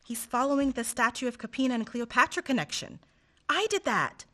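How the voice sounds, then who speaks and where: A woman's voice: fairly high pitch, expressive, brisk, fairly bright tone. A woman acting a willful, defiant young woman in love in a radio play.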